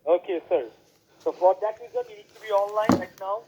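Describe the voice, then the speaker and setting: A man cold-calling as a scam caller, pushing a scripted pitch and spelling letters out slowly over a phone line. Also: background noise. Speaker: scam caller posing as support.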